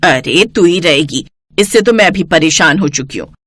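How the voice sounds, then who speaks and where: Woman playing a sharp-tongued greedy older mother-in-law. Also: deep voice, animated, normal speed, dry recording, clean studio sound, voice pushed down. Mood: fed up.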